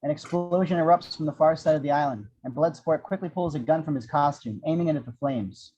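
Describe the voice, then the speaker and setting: A man's voice: a little high, brisk, rough recording. A man reading action descriptions as the narrator of an amateur screenplay table read.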